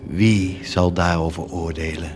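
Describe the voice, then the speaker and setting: An actor in a radio drama playing a bitter, accusing betrayed man, speaking gravely and often half-whispering. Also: low voice, steady tone, a little slow, background noise. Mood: scornful. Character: bitter, accusing betrayer who sternly keeps watch.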